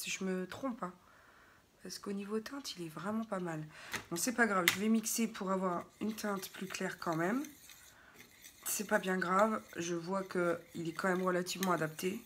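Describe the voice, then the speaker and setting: A woman's voice: medium pitch, background noise. A young French woman chatting casually to viewers as she does a makeup tutorial, often drifting into asides. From France. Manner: chatty, digressive, talks to viewers. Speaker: beauty vlogger.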